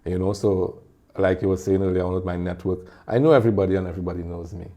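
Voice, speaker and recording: A man with a Trinidadian accent, talking as a guest in a casual interview and telling animated stories with frequent laughter. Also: low voice, fast. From Trinidad and Tobago. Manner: animated storyteller, laughs often. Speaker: guest, music video producer and singer.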